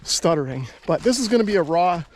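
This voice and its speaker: A man's voice: high-pitched, fairly quick, rough recording. An American man in his forties chats casually and unscripted to his viewers, a little out of breath, in a laid-back, hesitant way. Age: 40s. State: slightly out of breath. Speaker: hobbyist video creator. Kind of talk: casual unscripted chat to camera. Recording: steady background noise.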